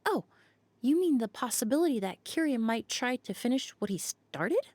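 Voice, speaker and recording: Composed young game heroine, higher voice, animated. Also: female voice, moderate pace, very noisy. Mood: calm.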